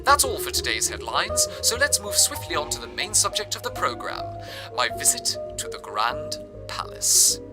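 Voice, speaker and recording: A man's voice: fairly high pitch, huge pitch swings, normal speed, loud music behind the voice. A man playing an earnest, deferential radio presenter in an audio drama, speaking in a formal, theatrical scripted style. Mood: upbeat announcing. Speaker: radio broadcast host.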